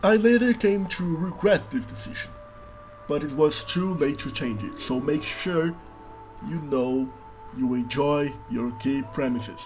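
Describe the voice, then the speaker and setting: Wry Brazilian man narrating over soft music. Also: a little high, expressive, unhurried, rough recording. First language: Brazilian Portuguese. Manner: dry, self-mocking narration with dramatic emphasis. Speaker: worldbuilding video creator.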